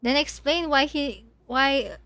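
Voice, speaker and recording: A woman's voice: sits high, slow, fairly bright tone, dry recording. A woman game streamer giving casual, reactive live commentary on a story game, prone to giggles.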